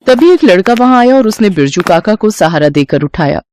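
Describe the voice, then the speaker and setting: A woman's voice: mid-range voice, lively intonation, brisk, a little mellow. A woman narrating a scripted story as the storyteller.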